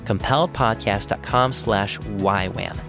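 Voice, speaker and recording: A man's voice: lowish voice, measured pace, gravelly. A man reading scripted sponsor spots as a podcast host. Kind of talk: scripted ad narration. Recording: music under the voice. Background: guitar music.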